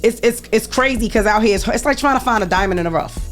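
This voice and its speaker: A woman in her forties talking in an animated, emphatic way in a casual group chat, telling stories and making points. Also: on the high side, quick pace, soft background music. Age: 40s. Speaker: panelist.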